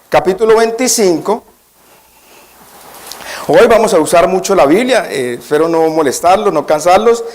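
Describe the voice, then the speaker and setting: A male preacher with a somewhat high voice who gives a church sermon. He presses his points and keeps asking the congregation questions so that they answer together. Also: darkish tone. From Colombia. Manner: nervous at first, then emphatic and questioning the congregation. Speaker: guest preacher.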